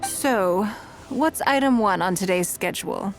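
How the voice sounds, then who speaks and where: A woman voicing an elegant, shrewd and courteous businesswoman in scripted video game dialogue, speaking in a poised, measured and articulate way. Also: mid-range voice, close to an everyday voice. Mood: gracious, relaxed. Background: background music.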